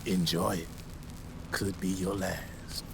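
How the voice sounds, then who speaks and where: Low-voiced, slick streetwise arms dealer. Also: male voice, flat delivery, slow pace, full character voice. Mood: cool, cynical. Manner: slangy, smooth-talking, casual swagger. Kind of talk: dramatic video game voice acting. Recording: clear background noise and ambience.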